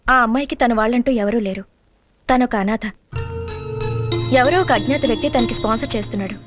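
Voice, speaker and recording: Actress as matter-of-fact young woman, courteous film dialogue, high pitch over a prominent score. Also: brisk, lightly acted. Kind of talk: Telugu film comedy dialogue. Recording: film soundtrack with loud background music.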